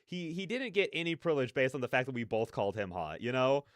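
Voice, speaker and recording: Animated man giving casual reaction commentary. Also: mid-range voice, quick pace, no echo, clean studio sound. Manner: effusive, repeats phrases for emphasis. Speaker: reaction video host.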